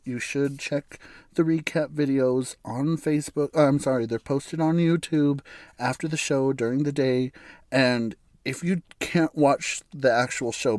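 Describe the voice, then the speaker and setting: A man from the United States hosting a solo podcast, his voice raspy and hoarse from bronchitis, rambling through his opinions with chuckles and frequent restarts. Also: fairly high pitch, a little mellow, no echo, studio quality. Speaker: podcast host. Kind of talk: casual solo podcast monologue.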